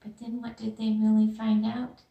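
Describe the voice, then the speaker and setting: A woman teacher reading a storybook aloud and talking to young children, expressive, with questions and pauses for them. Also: on the high side, gravelly, husky, highs cut off. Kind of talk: animated storybook read-aloud and lesson.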